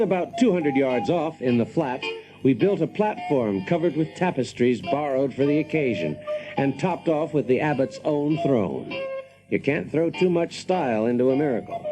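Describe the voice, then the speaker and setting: A man's voice: a little high, rough recording. A man playing a brash, wry engineer in a radio drama, telling his story with dry, folksy self-assurance. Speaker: protagonist and narrator. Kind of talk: dramatized first-person narration, radio drama.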